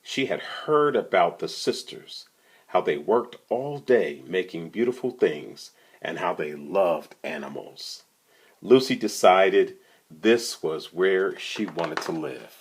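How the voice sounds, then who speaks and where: Storytime reader: male, very low register, takes his time, conversational delivery with asides to the kids. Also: animated, takes it slowly, slightly rough. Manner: conversational, often asks the listener questions. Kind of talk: reading a picture book aloud, with casual asides to young listeners.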